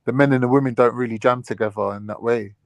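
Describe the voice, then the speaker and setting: A man's voice: medium pitch, steady tone, fast, no room sound. A man chatting on a podcast panel, rambling and filling his talk with "like" and "you know what I mean". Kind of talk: casual debate chat.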